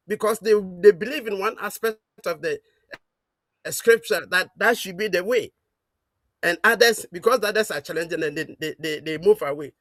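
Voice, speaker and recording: High-voiced man giving halting preachy remarks. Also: fairly bright tone, no room sound. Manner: halting, with many fillers and restarts. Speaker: caller. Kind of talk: preaching-style call-in remarks.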